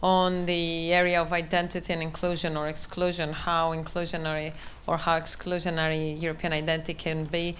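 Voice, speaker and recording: A woman's voice: deep voice, monotone, a little fast, few pauses, fairly bright tone, slight background noise. A woman researcher introducing her work at a conference, speaking steadily and fluently into a handheld microphone in a large hall. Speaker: university researcher.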